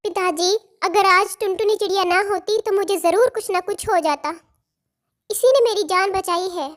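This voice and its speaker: A woman's voice: very high-pitched, moderate pace. A woman voicing a sweet, earnest young girl bird in a childlike way for a Hindi cartoon story.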